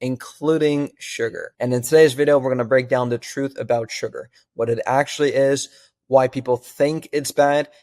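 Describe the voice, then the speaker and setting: A man from the Netherlands talking as a fitness coach, confident and punchy, leaning on rhetorical questions. His voice sits in an ordinary middle pitch. The sound is dry and close, with no room around it. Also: fairly even intonation, normal speed, a little mellow, dry recording. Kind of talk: scripted direct-to-camera explainer.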